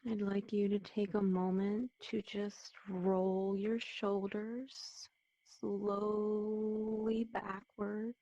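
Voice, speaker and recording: A woman leading a guided meditation in a soothing, gentle, unhurried manner, with a muffled, dull sound to the recording. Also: mid-range voice, level tone, dry recording. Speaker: meditation teacher.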